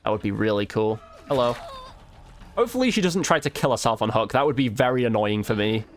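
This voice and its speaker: A man's voice: mid-range voice, expressive, fairly quick. A man giving casual gameplay commentary, informally thinking aloud as he plays. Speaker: gaming video creator.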